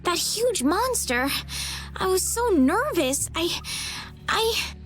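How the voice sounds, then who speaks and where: An actress playing a polite, gentle, somewhat anxious young noblewoman in a video game. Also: extremely high pitch, big pitch swings, slow pace, soft background music, exaggerated voice. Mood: nervous.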